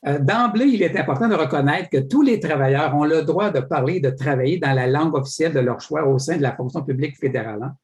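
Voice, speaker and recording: Male moderator, slightly high voice, emphatic question delivery, filler-heavy. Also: fast talker, few pauses, darkish tone. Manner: emphatic, peppered with filler "là" and small stumbles. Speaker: debate moderator. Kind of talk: posing prepared questions.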